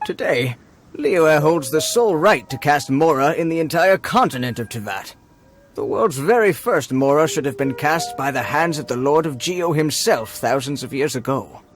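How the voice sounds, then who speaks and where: An actor playing an earnest history enthusiast in a video game, lecturing in a formal, assured way. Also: male voice, fairly high pitch, animated, moderate pace, faint music behind, natural voice. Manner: formal, didactic. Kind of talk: video game character acting.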